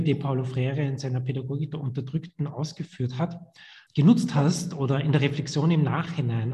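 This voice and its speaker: A man hosting a podcast asks long, carefully built academic questions in a measured way. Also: medium pitch, dark tone. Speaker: podcast host and interviewer.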